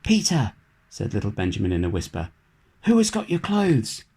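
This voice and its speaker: A man's voice: fairly low pitch, wildly swooping pitch, moderate pace, a little mellow, slightly rough, full character voice. A man voicing a bold, confident little boy rabbit from a storybook, chirpy and matter-of-fact. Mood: curious, hushed.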